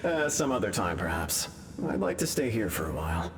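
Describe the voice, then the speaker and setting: A man's voice: low-pitched, very animated, fairly quick, background noise. A man voicing a chatty, easygoing, delighted newcomer ghost in a video game. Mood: relaxed.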